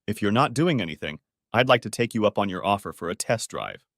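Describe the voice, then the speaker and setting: A man's voice: lowish voice, lively intonation, a little fast, gravelly, a bit bright, no room sound, studio quality, exaggerated voice. A man voicing a fed-up, sarcastic middle-aged husband in a narrated story. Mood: flirtatious.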